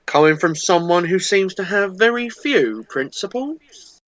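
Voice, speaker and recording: A woman's voice: fairly low pitch, animated, measured pace, dry recording. Woman acting a cutting passenger, dull dry recording. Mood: disdainful. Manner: dry sarcasm. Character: cutting, disdainful female stranded passenger. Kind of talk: amateur radio drama acting.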